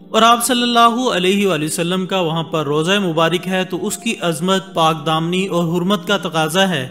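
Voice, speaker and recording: A man with a high voice reads a scripted documentary narration in a measured, formal way. Soft music plays under him throughout. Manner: measured, formal Urdu delivery with Arabic religious phrases. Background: soft background music.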